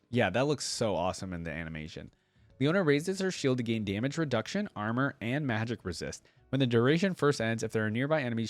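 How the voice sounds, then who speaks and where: A man's voice: fairly low pitch, dry recording. Casual man reacting, dry close sound. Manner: easily impressed, thinking out loud. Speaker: host of a game reaction channel. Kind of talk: casual reaction commentary, reading ability descriptions aloud.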